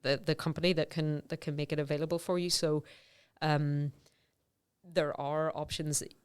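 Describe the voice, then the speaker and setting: A woman's voice: deep voice, little pitch movement, moderate pace, clear, resonant tone. A middle-aged woman researcher, a podcast guest, talking things through thoughtfully with lots of fillers and restarts. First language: English. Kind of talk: conversational interview.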